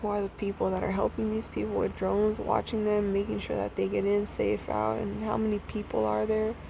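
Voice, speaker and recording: A young woman, sad and near tears, talking in a halting, repetitive way with sighs between thoughts in a personal video message. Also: medium pitch, completely flat delivery, gravelly, background noise. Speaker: vlogger.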